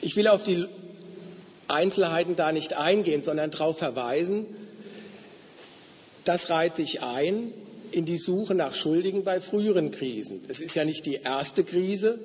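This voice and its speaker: A slightly hoarse man with a fairly high voice delivers a measured, explanatory lecture. The sound is dull and lo-fi, with a long reverb from the hall around him. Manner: measured, explanatory, with occasional fillers. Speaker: guest lecturer. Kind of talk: academic lecture.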